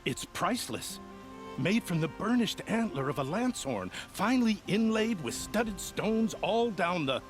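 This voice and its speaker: A high-voiced, earnest, proud nobleman. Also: very animated, unhurried, faint music behind, poor audio. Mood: indignant, boastful. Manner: formal, dramatic, earnest. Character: anxious, proud nobleman pleading over family honor. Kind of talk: video game character acting.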